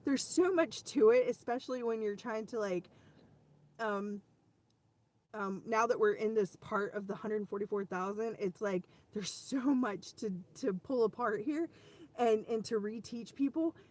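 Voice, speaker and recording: Hoarse woman talking faith, rambling. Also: on the high side, measured pace, darkish tone. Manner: rambling, with frequent restarts and "you know". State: hoarse, losing her voice. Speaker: ministry host speaking to viewers. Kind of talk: casual faith talk on a livestream.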